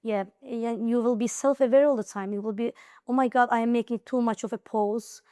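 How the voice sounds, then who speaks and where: Young woman guest with an Azerbaijani accent, earnest and advising, smooth resonant voice, fairly high. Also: fairly even intonation, a little fast, a little mellow, no room sound, clean studio sound. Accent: Azerbaijani, Nakhchivan. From Azerbaijan, Nakhchivan region. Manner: earnest and advising, with many fillers and restarts. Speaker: interview guest, IELTS high scorer. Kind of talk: casual interview conversation.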